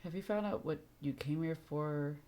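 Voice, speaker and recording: A woman's voice: deep voice, moderate pace, dark tone, a little hiss or hum. A woman live streaming a game with casual commentary, playful dry asides and reading along. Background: game music.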